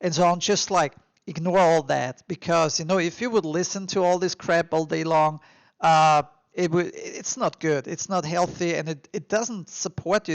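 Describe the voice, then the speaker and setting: Male podcast host, Austrian accent, fairly high creaky voice, dry close sound; emphatic, encouraging advice-giver. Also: no echo. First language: German. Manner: emphatic, encouraging, with informal repetition. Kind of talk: motivational advice monologue to listeners.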